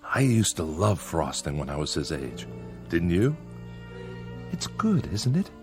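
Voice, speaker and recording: An actor playing an uneasy, placating man in a radio drama, halting and carefully pleasant. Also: low-pitched, very animated, darkish tone, soft background music.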